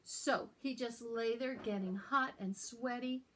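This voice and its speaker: A woman hosting a children's storytime as a librarian, reading aloud and talking to young listeners in simple, deliberate phrases. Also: a little high, fairly bright tone, a little hiss or hum.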